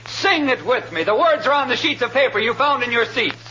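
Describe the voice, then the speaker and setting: A man's voice: extremely high pitch, big pitch swings, a little fast, bright, forceful tone, a little hiss or hum, heavily acted. An actor playing a vain male singing star in an old radio drama, pompous, theatrical and affected. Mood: grandiose. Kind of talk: 1950s radio drama acting. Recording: muffled old radio recording, music behind.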